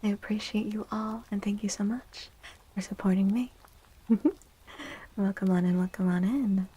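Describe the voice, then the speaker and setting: A woman hosting a soft-spoken ASMR tarot stream, talking gently and breathily, often near a whisper, with drawn-out delivery. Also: medium pitch, fairly even intonation, breathy edge, low-quality recording. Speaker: livestream host giving tarot readings.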